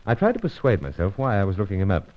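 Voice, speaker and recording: Low, lively gentleman secret agent. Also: very fast. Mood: brooding. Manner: clipped, hesitant, old-fashioned gentlemanly. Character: gallant, guarded British secret agent, man. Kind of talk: old-time radio adventure serial acting. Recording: dull old radio recording.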